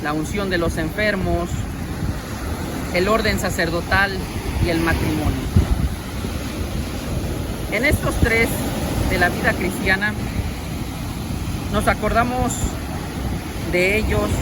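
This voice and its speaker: Slow-preaching priest in loud wind. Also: male voice, sits high. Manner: slow, measured preaching with rhetorical questions. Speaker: parish priest. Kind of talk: catechetical homily. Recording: loud wind noise outdoors.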